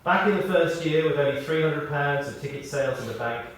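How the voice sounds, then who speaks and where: A British man reads a scripted memorial tribute as a eulogist, telling anecdotes in a measured way with pauses and emphasis. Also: a little high. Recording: very echoey, distant mic, faint noise.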